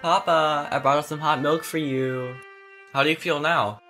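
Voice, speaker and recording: A man voicing a gentle, soothing troll mother in a cartoon fandub. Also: on the high side, steady tone, moderate pace, faint music behind. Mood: caring.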